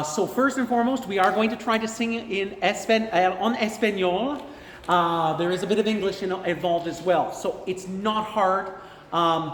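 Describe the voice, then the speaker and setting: A man's voice: on the high side, fairly bright tone, a little hiss or hum. A man leading a choir rehearsal, explaining things in an informal, encouraging and self-deprecating way. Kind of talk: instructive rehearsal talk. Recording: echoey reverberant room with piano music.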